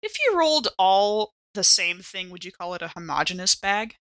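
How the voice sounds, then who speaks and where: A woman playing a tabletop game, chatting casually in a playful, expressive way. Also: medium pitch, moderate pace.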